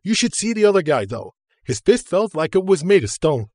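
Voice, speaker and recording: Man putting on a cheeky excitable younger brother. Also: high voice, fairly quick, no echo, clean studio sound, voice pushed up. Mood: breezy. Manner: breezy and excitable.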